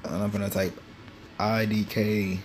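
A man's voice: on the low side, little pitch movement, unhurried, slight background noise. A teenage boy casually rambling through commentary over a mobile game, with lots of fillers, recorded on a phone at home. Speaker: gaming channel host. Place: home with train passing outside. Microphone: phone screen recorder.

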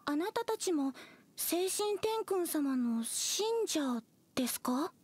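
A woman's voice: very high voice, lively intonation, takes it slowly, darkish tone, no room sound, exaggerated voice. A woman playing a naive, lovelorn young believer, polite and earnest, in anime game acting. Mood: fervent.

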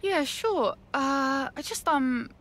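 An actress playing a cheeky, sly smuggler in a video game, with a drawling, sardonic, teasing manner. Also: female voice, sits high, lively intonation, takes it slowly, a bit bright, heavily acted. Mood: feigned vagueness. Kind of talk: video game cutscene acting.

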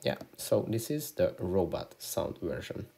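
Low-pitched man narrating an app tutorial. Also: very animated, a little slow, gravelly, mellow. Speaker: tutorial host. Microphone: voice-over on screen recording.

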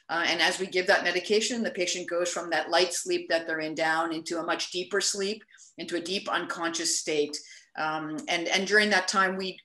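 Woman explaining medicine, bright roomy voice. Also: lowish voice. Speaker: medical assistance in dying provider, panelist. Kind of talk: plain medical explanation on a panel. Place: indoor room on a video call. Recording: roomy, echoing video call.

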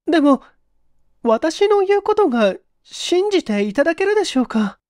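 Woman acting a high-voiced, hesitant polite young lady. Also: sing-song, normal speed, a little mellow, no echo, studio quality. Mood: anxious, reluctant. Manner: formal, deferential, hesitant speech. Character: distressed, hesitant, polite young office-working woman confessing a secret. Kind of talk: dramatized audiobook reading.

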